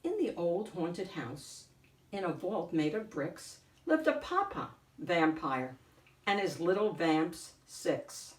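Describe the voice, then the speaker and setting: A woman reading a picture book aloud as host, in a deliberate, theatrical storytelling way. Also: lowish voice, sing-song, far from the mic. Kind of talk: expressive picture-book narration. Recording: echoey room, off the mic.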